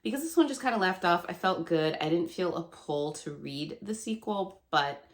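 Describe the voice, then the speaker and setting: A woman in her thirties chatting casually to camera as a book vlogger, her voice still gravelly and nasal from a cold, rambling and laughing often. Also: on the low side, lively intonation, moderate pace. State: hoarse and stuffy from a cold.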